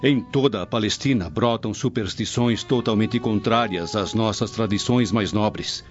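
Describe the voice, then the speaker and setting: A man's voice: on the low side, a little slow, lightly acted. A man playing a proud, traditional, prosperous Roman in a radio drama, formal and earnest in delivery. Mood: troubled. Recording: muffled radio drama with background music.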